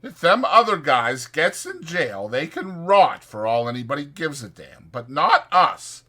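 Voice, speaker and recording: A man voicing a sharp, irritable but protective ranch drifter, brisk, insistent and colloquial, in an audiobook. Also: on the high side, sing-song, voice pushed up, heavily acted. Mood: proud, resolute.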